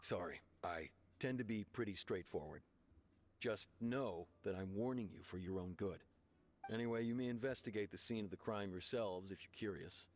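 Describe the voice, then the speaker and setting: An actor playing a sober, skeptical police officer in a game, speaking in a measured, frank, matter-of-fact way. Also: male voice, on the low side, natural voice. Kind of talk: anime game voice acting.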